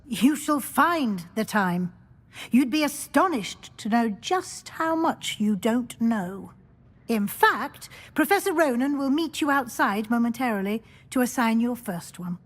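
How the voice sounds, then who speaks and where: A woman playing a stern, formal older professor in a video game, with a British accent and a crisp, authoritative manner. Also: fairly high pitch, animated, a little slow. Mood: firm, instructive. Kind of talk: video game character acting. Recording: long hall-like reverb.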